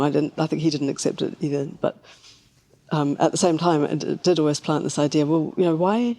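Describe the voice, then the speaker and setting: A woman's voice: sits low, mellow. A woman speaking as a scientist guest in a conversation, reflective and tentative as she thinks aloud.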